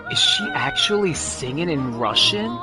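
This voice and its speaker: A man streaming a video game, chatting casually and excitably, rambling with lots of fillers and restarts. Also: high voice, normal speed. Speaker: gaming streamer. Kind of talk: casual live commentary while gaming. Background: faint game music.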